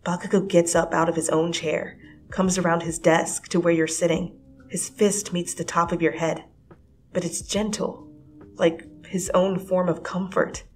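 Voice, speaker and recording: Expressive woman reading a story. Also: on the low side, a little slow, faint music behind, low-quality recording. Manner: expressive, swinging from hushed whispers to breathless excited rises. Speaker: fanfiction reader. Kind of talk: dramatic second-person story reading.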